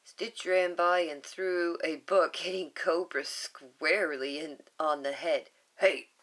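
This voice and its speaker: A woman reading a children's storybook aloud, with small asides and a higher voice for the quoted lines. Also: fairly even intonation, slow pace, fairly bright tone. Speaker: storybook reader.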